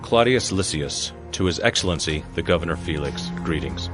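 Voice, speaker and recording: A man's voice: deep voice, expressive, normal speed, a bit bright, faint music behind. A man playing a stern Roman military tribune in a dramatized Bible reading, authoritative and formal.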